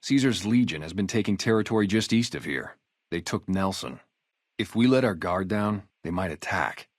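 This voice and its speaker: Game role: ex-gang sniper on guard duty, male, low register, lively inflection, casual street manner, guarded but loyal. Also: moderate pace, no echo, studio quality. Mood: matter-of-fact. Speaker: security guard and former soldier. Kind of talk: video game character dialogue, naturalistic.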